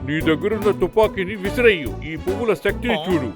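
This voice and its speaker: High-pitched scheming cartoon troublemaker. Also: male voice, lively intonation, fast talker. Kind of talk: dubbed children's cartoon acting. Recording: music behind the voice.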